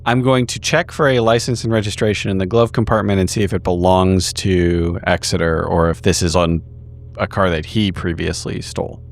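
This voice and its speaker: A man's voice: sits low, steady tone, moderate pace. A man casually improvising his character's actions as a player in a tabletop roleplaying game, swearing now and then. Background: background music.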